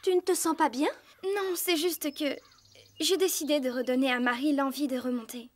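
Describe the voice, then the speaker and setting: A woman voicing a kind, earnest teenage girl rider in a French cartoon dub, expressive and encouraging. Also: high-pitched, normal speed, lightly acted. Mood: cheerful, decided.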